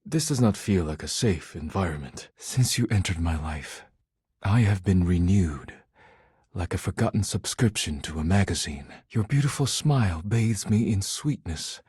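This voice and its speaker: A deep, husky, solemn poet. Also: male voice, animated, a little mellow, no room sound, studio quality, exaggerated voice. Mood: stern, disappointed, then moved, tender. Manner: formal, measured, solemn, avoids contractions. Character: formal, earnest, proud male poetry-loving dish character. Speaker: voice actor in a dating simulator game. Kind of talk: scripted video game character dialogue.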